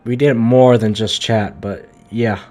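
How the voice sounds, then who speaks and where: A man's voice: medium pitch, steady tone, measured pace, a little mellow. A man livestreaming a video game with casual, slangy, emphatic commentary, often stumbling and repeating himself.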